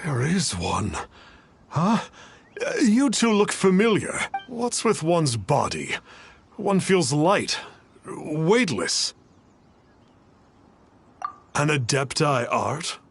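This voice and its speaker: An actor playing a pompous, cowardly charlatan who poses as an immortal in an anime game, theatrical and archaic in phrasing. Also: male voice, fairly high pitch, huge pitch swings, drawn-out words, gravelly, pauses often, slight background noise, full character voice. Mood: bewildered.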